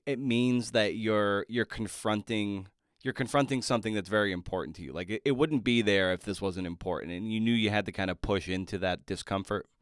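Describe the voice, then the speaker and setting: A man with a fairly low, clean and resonant voice hosts a podcast interview. He builds long, conversational questions haltingly, doubling back on words. The sound is close and dry. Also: clean tone, dry recording. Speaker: podcast host interviewing an author.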